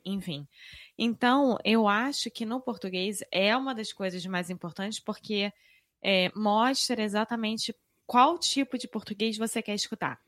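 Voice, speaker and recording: A woman from Rio de Janeiro with a Carioca accent, co-hosting a casual podcast, frank and lively, laughing easily. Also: medium pitch, no echo, clean studio sound. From Rio de Janeiro, Brazil. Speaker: podcast co-host, Portuguese teacher.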